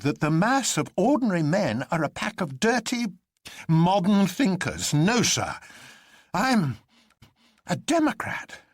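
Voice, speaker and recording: Man acting an earnest, emphatic doctor, pitched high. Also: no echo, clean studio sound. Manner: precise, emphatic. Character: earnest, stubborn, plain-spoken doctor defending common people.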